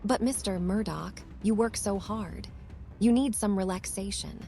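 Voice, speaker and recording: Woman playing a mellow, kindly young office worker. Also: medium pitch, measured pace, gravelly, faint music behind. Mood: concerned.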